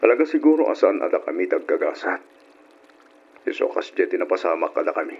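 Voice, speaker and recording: Deep-voiced heartbroken man, old radio drama. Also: big pitch swings, a little fast, slightly rough, exaggerated voice. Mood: wistful. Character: earnest, heartbroken, forgiving jilted man. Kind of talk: Ilocano radio drama acting. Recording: dull, noisy old radio recording.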